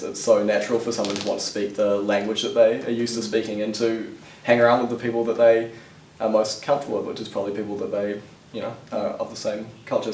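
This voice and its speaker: A man arguing his point in a quick, slightly exasperated way in an interview. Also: on the low side, a little mellow. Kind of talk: opinionated interview talk. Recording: echoey and distant.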